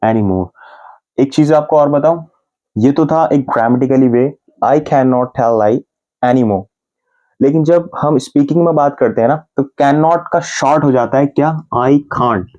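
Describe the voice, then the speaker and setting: A man with an Indian accent gives an English lesson, spelling out example sentences one word at a time. His voice is mellow and warm, sitting at an ordinary middle pitch. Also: lo-fi sound. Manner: explains patiently, repeating example sentences slowly word by word. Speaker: English teacher. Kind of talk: Hindi-language English grammar lesson.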